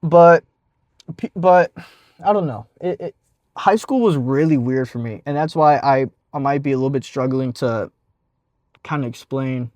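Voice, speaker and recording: A young man who stutters talks through a casual storytime vlog, breaking into repeated sounds and restarts and filling gaps with fillers. His voice sits at an average pitch, and the sound is close and dry, with no room echo. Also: normal speed, long pauses, darkish tone. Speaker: vlogger.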